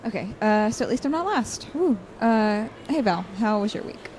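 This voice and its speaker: A woman's voice: on the high side, expressive, normal speed, a little mellow, slight background noise, lightly acted. A woman playing a studious, guarded college student with a dry, slightly hesitant delivery, in naturalistic audio drama. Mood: dry, casual. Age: young adult.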